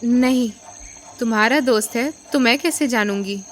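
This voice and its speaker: A woman playing a guarded, quietly authoritative elder sister in an audio drama, speaking in a measured, stern way. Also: a little high, close to an everyday voice.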